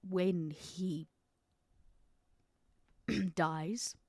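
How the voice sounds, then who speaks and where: Scheming vampire businesswoman acted by a woman, lowish voice, theatrical and insinuating, close dry sound, game podcast. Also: steady tone, very slow, darkish tone, dry recording, heavily acted. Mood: grave, confiding. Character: Vera Volkov, scheming, worldly female vampire businesswoman. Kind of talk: in-character tabletop roleplay.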